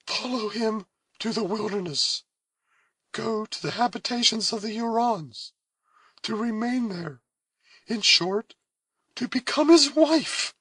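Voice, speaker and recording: A man's voice: slow, fairly bright tone, husky, no room sound, heavily acted. A man voicing a proud, solemn young woman pleading in anguish in a read-aloud story. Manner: high, impassioned, pleading.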